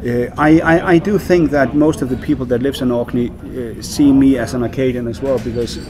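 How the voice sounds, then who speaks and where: Danish man, halting, crowd behind. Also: mid-range voice, steady tone. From Denmark. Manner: hesitant, many fillers and repeated words. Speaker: whisky brand ambassador. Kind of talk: casual interview.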